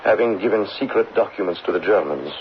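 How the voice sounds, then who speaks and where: An actor playing a distraught, earnest condemned French army officer in a radio drama, speaking urgently. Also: male voice, deep voice, quick pace, lightly acted. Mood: anguished, bewildered. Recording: muffled old radio recording, faint noise.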